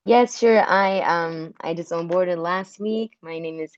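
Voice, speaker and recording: A young woman from Turkey speaks in a low voice as a newcomer on a group call. Her audio is dry and somewhat dull, with little room sound. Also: measured pace, no room sound. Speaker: new member of a working group. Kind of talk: casual self-introduction and reading on a call.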